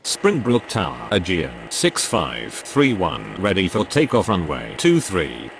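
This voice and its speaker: Give a deep-voiced man acting on airport radio as pilot or controller. His procedural phrases are formal and clipped, yet his pitch swings widely. A clear noisy hum sits around him. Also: huge pitch swings, a little slow, exaggerated voice. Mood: businesslike. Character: male pilots and air traffic controllers on radio.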